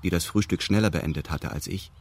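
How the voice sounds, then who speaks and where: An actor playing a detached male narrator in a radio drama, speaking in a formal, meticulous, deadpan manner. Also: low-pitched, fairly quick, slightly rough. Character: detached male narrator recounting a weekend with pedantic precision. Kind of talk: scripted first-person radio drama narration.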